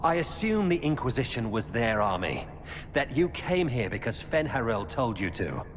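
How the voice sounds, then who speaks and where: An actor playing a desperate former knight turned defector in a fantasy game, speaking with pleading urgency. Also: male voice, medium pitch, sing-song, normal speed, close to an everyday voice. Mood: uncertain, weary. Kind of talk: video game dialogue acting. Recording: long echoing reverb, clear background noise.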